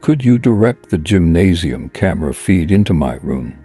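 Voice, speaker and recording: Man acting a deep, husky older president. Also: big pitch swings, moderate pace, dark tone, soft background music, heavily acted. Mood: authoritative. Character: dignified, kindly older male association president. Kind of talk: dramatic manga dub acting.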